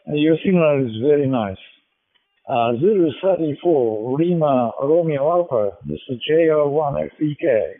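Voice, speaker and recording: A man's voice: a little high, dry recording. A man with a Japanese accent making a courteous, formal ham radio contact over a radio link. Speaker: amateur radio operator. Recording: tinny narrowband digital radio transmission.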